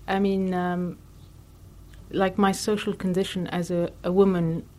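A woman artist from Lebanon with a somewhat low voice answers interview questions reflectively. She thinks aloud, with frequent pauses and fillers between her ideas. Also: flat delivery, mellow.

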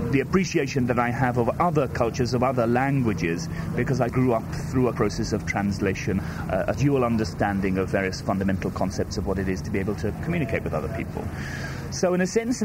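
A man's voice: mid-range voice, noisy background. A man born in Wales whose first language is Welsh, answering interview questions as an artist in a hesitant, circling way with many restarts.

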